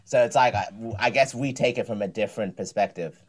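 A man's voice: fairly low pitch, fairly quick. A man, a comedian co-hosting a podcast, chatting in a laid-back, easily amused way. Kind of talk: casual podcast chat.

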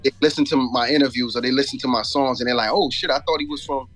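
A man's voice: mid-range voice, quick pace, fairly bright tone, soft background music. A man from Jamaica and Florida chatting casually as a rapper guest in an interview, telling stories in slangy talk with frequent asides. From born in Jamaica, grew up in Florida.

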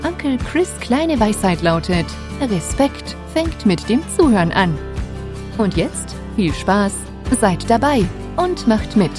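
A woman announces a podcast intro from a script, her pitch bouncing in an animated way. Her upbeat delivery sits over a music bed that's clearly audible. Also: slow.

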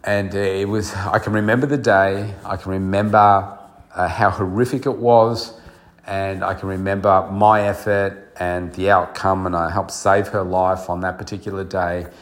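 A man's voice: low-pitched, level tone, normal speed. A middle-aged man hosting a solo podcast, speaking earnestly and reflectively with many fillers, his voice nasal and congested from a cold. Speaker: podcast host and coach.

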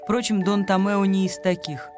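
A man's voice: high-pitched, level tone, normal speed. A man delivering scripted narration as the storyteller of a radio play. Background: music.

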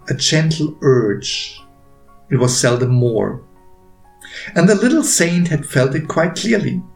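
A man reading his own story aloud as a narrator, gently wry and unhurried, with deliberate pauses. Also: medium pitch, reverberant.

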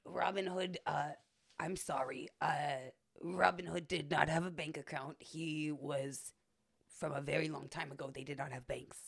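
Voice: mid-range voice, steady tone, normal speed, vocal fry, fairly bright tone, husky. A performer voicing a lusty, flirtatious and bossy female comic podcast character with a crooning delivery. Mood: puzzled, sassy.

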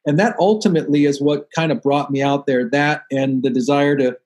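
DC-area male podcast host, a bit high-pitched, friendly and filler-heavy. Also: steady tone, fairly quick, a little mellow. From Washington DC area, USA. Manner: friendly, chatty, many "uh" fillers. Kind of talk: casual interview chat.

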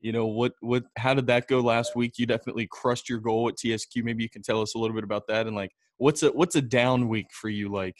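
A man's voice: medium pitch, fairly even intonation, fast, few pauses, dry recording. Man co-host asking questions, dry sound. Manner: hesitant, restarting questions. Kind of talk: casual interview questions.

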